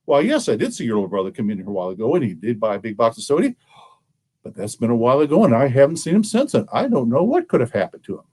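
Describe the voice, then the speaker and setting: A man's voice: medium pitch, sing-song, rapid-fire, darkish tone, breathy edge. A man telling a folktale, voicing a polite, plain-spoken storekeeper. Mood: courteous. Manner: polite, matter-of-fact. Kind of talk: oral folktale storytelling.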